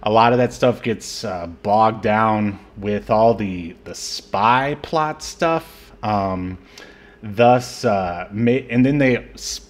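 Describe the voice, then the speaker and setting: A man's voice: lowish voice, slow pace. A man co-hosting a podcast, chatting casually about an old movie, rambling with lots of fillers and restarts. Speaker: podcast co-host. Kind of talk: casual movie review chat.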